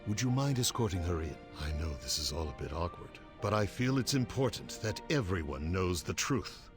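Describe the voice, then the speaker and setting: Older game guard captain, deep voice, moves around a lot, protective and solemn, music behind. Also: male voice, big pitch swings, normal speed. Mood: grave, earnest. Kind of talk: video game voice acting. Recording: music under the voice.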